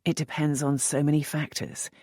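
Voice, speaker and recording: A woman narrator voicing a teasing, wry young earl in an audiobook, speaking dry and measured. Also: sits low, level tone, mellow, slightly rough, no echo. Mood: calm.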